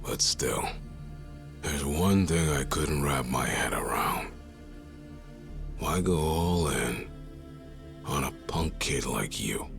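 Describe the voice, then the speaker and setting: An actor playing a cocky gangster rival in a video game cutscene, talking low and mocking in a husky half-whisper. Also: male voice, slow pace, long pauses, heavily acted. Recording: game audio with music behind.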